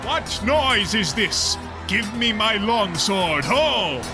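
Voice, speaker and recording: A demanding, indignant old patriarch, acted by a man in a Shakespeare audio play. He blusters slowly in a fairly high voice, over music. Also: big pitch swings, full character voice.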